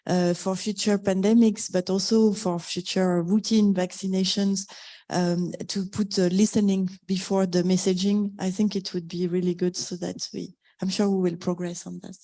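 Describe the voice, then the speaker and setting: A woman with a dark, mellow voice at an average pitch speaks off the cuff in a roundtable discussion. Her delivery is halting and agreeable, feeling its way through each point. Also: level tone. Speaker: crisis-response professional, roundtable participant.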